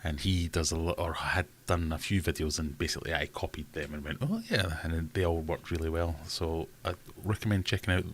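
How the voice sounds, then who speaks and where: Deep-voiced man, chatty livestream host. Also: brisk, few pauses, slight background noise. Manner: rambling, self-deprecating, chatty. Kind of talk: casual live chat reading comments. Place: home.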